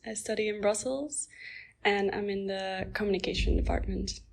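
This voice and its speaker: A woman in her twenties from Belgium answers interview questions thoughtfully, pausing on ums. Her mid-pitched voice carries a strong gravelly fry. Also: steady tone. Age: 20s. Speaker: exchange student.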